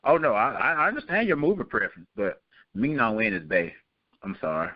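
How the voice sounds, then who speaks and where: A man's voice: on the low side, wildly swooping pitch, brisk, no echo. A man co-hosting a podcast with casual, playful, emphatic banter. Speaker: podcast co-host. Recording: slightly dull, dry radio-like sound.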